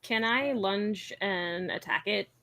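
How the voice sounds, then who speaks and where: A woman plays a tabletop role-playing game in a medium-pitched voice. She talks casually, as in relaxed conversation around a game. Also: slow, no room sound.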